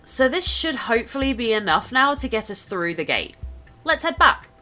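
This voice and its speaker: A woman's voice: fairly high pitch, big pitch swings, moderate pace, bright, forceful tone. A woman narrating a gameplay video in an upbeat, chatty and enthusiastic way. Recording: voice-over with game music behind. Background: music.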